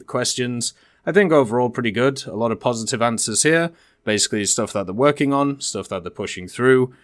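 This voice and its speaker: Low-voiced man giving dry, close commentary. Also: a bit bright, clean tone, no room sound. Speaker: gaming content creator and host. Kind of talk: casual commentary, reading out developer Q&A and reacting.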